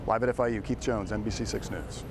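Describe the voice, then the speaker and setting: A man's voice: on the low side, steady tone, fast talker. A middle-aged man reporting for TV news in a live, scripted style. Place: outdoors by a road at night. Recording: clear outdoor street noise behind.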